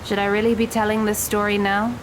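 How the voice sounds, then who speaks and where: A woman's voice: mid-range voice, level tone, fairly quick, background noise, close to an everyday voice. A woman playing a cold, sarcastic thirteen-year-old girl in an audio drama, with a dry, curt delivery. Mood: dry, detached.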